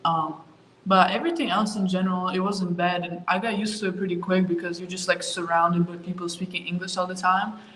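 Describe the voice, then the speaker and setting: A young woman from Ukraine answering interview questions about her move and her sport, talking in a relaxed way with lots of fillers. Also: on the low side, fairly quick, a little hiss or hum. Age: young adult. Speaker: college basketball player, interview guest. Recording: roomy with faint ambience.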